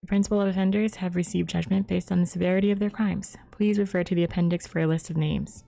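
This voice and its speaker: A woman's voice: fairly low pitch, steady tone, fast talker, creaky, dark tone. A woman game streamer casually reading game text aloud, stumbling over names as she goes.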